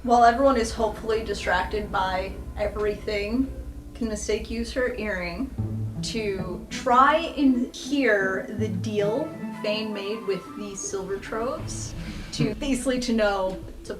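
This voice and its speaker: A woman's voice: mid-range voice, a little slow. A woman playing a tabletop roleplaying game, chatting and bantering casually. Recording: echoey room with music behind.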